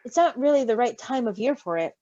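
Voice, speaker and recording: A woman's voice: on the high side, expressive, a little fast, warm tone, no room sound, lo-fi sound, natural voice. A woman voicing a grumpy, hesitant male creature in a storybook reading. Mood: matter-of-fact.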